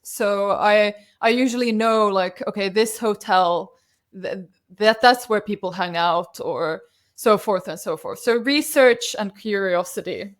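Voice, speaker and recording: A woman's voice: medium pitch, a little slow. A woman from Finland, a native Swedish speaker, chatting as a podcast guest and telling anecdotes with frequent restarts. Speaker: podcast guest, CEO ghostwriter. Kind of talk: casual interview chat.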